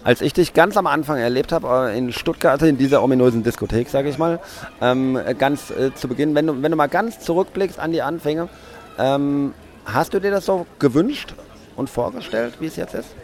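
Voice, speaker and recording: A man's voice: on the high side, moderate pace, a little mellow. A man interviewing a musician outdoors, asking questions with lots of hesitations and fillers.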